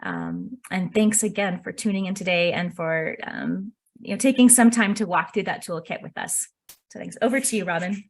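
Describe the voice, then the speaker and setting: A woman gives a webinar presentation over a computer microphone. Her pitch sits in an ordinary middle range, and her delivery is measured and earnest, with frequent pauses of "um" as she walks through her points. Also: big pitch swings. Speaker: workshop presenter. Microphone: computer mic on a video call.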